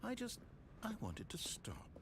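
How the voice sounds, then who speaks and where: A man's voice: sits high, huge pitch swings, moderate pace, creaky, whispered, exaggerated voice. Anxious, melodramatic male game narrator, swooping voice. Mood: pleading, wistful. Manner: wordy, earnest, melodramatic. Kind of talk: dramatic scripted video game narration.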